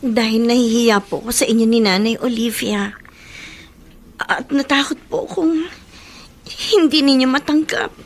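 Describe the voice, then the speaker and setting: A woman's voice: a little high, normal speed, long pauses. An actress playing a young mother in a radio drama, speaking humbly and tearfully. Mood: ashamed. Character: Marisa, young mother ashamed of her past. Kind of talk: Tagalog radio drama acting. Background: music.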